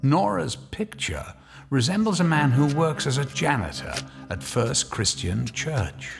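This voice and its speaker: A man's voice: mid-range voice, animated, a little slow, vocal fry, over music. A man narrating an audio drama in a scripted, dramatic storytelling style.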